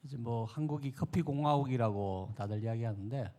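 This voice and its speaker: Fast-talking man asking from the audience. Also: mid-range voice, warm tone. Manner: polite, searching. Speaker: audience member asking a question. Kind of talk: question from the floor.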